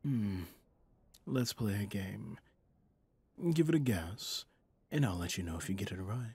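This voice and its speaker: Man playing flirty, dangerous mafia boss for ASMR: unhurried, husky, intimate, close and very dry sound. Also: animated, a little mellow, no echo, exaggerated voice. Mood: teasing, possessive. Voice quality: low, husky, often dropping to a whisper. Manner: slow, teasing, intimate, with pet names. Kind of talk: ASMR roleplay acting. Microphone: close studio microphone, dry.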